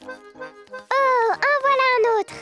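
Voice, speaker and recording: A performer voicing a cheerful, excitable little piglet child in a French children's cartoon, bubbly and full of exclamations. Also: very high voice, drawn-out words, heavily acted. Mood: excited. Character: cheerful excitable little girl piglet and young animal friends. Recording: music behind the voice.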